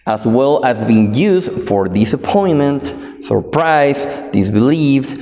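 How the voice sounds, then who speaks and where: A man from Guatemala whose first language is Spanish, speaking with a Guatemalan Spanish accent as he delivers a sermon, pausing often with fillers and restarts. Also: mid-range voice, expressive, a little mellow, slight background noise. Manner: halting, many fillers and restarts. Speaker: preacher. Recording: echoey hall with long reverb.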